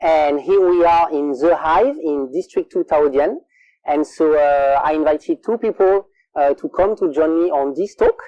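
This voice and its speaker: A man with a French accent hosting a casual interview in a coworking space, speaking with many fillers and pauses. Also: on the high side, dark tone, no room sound, lo-fi sound. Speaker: host.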